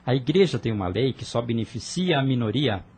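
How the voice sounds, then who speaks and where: Guy doing a fired-up young rebel brother, husky voice, pushy and earnest, audio drama. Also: mid-range voice, expressive, fairly quick, a little mellow. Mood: persuasive. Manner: fervent, persuasive, earnest.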